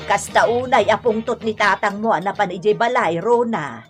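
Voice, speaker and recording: A woman's voice: mid-range voice, normal speed, faint music behind, close to an everyday voice. A woman playing an older, plaintive, placating mother in an Ilocano radio drama. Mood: dismayed, ashamed. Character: older mother, embarrassed and defensive about her son.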